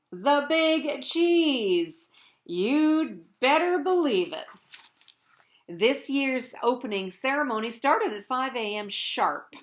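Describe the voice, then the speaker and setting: A woman reading a children's picture book aloud as a boastful, theatrical cheese telling his own tale in a dramatic, self-important way. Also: on the high side. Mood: boastful, showy.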